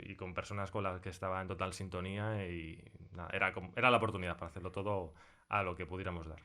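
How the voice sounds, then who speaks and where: A man's voice: low-pitched, steady tone, fast talker, pushed and bright. A man, a sound designer explaining things casually in a studio interview. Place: recording studio in Madrid.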